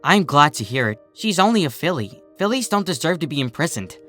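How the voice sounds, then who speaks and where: A man puts on a kind, nervous female pony for a story. The voice lifts well upward with lively swings and hesitant delivery, music faint behind. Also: on the high side, a little fast, voice pushed up. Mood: hopeful, tentative. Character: anxious, kind-hearted female pony counselor.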